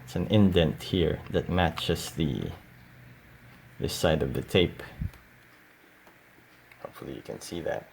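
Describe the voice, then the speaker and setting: A man giving a casual, unscripted show-and-tell in a hesitant, halting way. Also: a bass voice, creaky. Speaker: collector showing cassette tapes. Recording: audible steady background noise.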